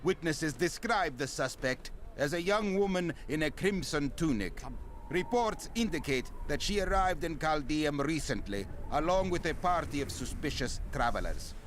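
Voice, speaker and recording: A man's voice: a little high, expressive, normal speed, a bit bright, slight background noise. A man playing an imperial herald, making a formal, declamatory proclamation in a fantasy video game. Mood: stern, official. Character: official imperial herald reading a proclamation to subjects.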